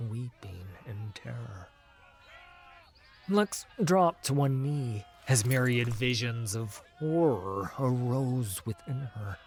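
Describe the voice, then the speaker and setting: A man narrating a fantasy story in an intense, dramatic scripted style, dropping at times into hushed tones. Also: mid-range voice. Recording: music under the voice. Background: music.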